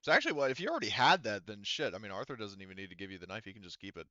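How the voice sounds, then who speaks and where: A man's voice: fairly low pitch, sing-song, rapid-fire, pushed and bright, no echo, dull audio. A man speaking casually as a game master in a tabletop roleplaying game, thinking aloud and swearing now and then.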